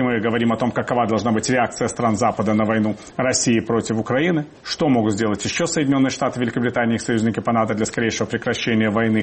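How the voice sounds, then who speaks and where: A man's voice: medium pitch, breathy edge. A man hosting a current affairs interview in a studio, introducing the program and putting questions to his guests. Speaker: host.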